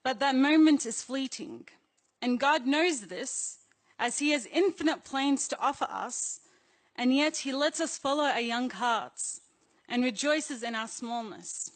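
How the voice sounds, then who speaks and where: A woman's voice: sits high, a little slow. A young adult woman with an Australian accent, delivering a prepared speech to an assembly as a youth delegate in an earnest, personal manner.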